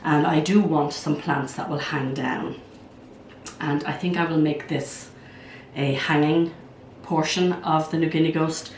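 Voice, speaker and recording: Hoarse older woman, low and roomy. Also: fairly even intonation, unhurried, slight background noise. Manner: chatty, enthusiastic, thinks aloud while working. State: hoarse from a cold. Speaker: plant channel host. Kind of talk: casual vlog. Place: at home, indoors. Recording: roomy sound from a distance.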